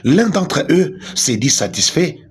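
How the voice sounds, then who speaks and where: A man reading a scripted news report in a formal, steady way. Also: a little high, slow pace, very noisy.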